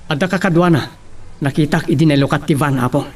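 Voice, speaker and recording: A man's voice: on the high side, fast talker, distant mic, natural voice. A man with a Filipino accent playing a brave, respectful young man giving an earnest account in an audio drama. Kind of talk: Filipino radio-style drama acting.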